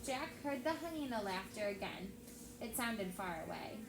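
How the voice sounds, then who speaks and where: A woman teacher reading a story aloud to children in an expressive storytelling style. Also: mid-range voice, moderate pace. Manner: expressive, acts out dialogue. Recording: echoey room with steady background noise.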